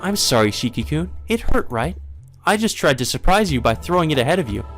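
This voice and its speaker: A man's voice: a little high, big pitch swings, fairly quick, soft background music, voice pushed up. A man voicing a teenage vampire girl from a visual novel, pleasant and delighted while sweetly menacing. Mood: cheerful, apologetic. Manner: pleasant, delighted delivery of grim words. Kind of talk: visual novel character reading.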